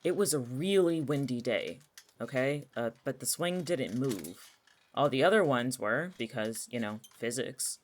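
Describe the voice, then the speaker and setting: A man's voice: medium pitch, expressive, a little slow, natural voice. A young man acting in an audio drama as a cynical, anxious, jobless atheist, with a sardonic, argumentative way of talking. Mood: wary, earnest. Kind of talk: naturalistic audio fiction dialogue.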